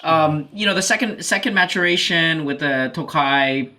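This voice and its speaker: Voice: mid-range voice, moderate pace, sharp tone. A co-host on a casual spirits review podcast who hesitates and restarts words while giving opinions.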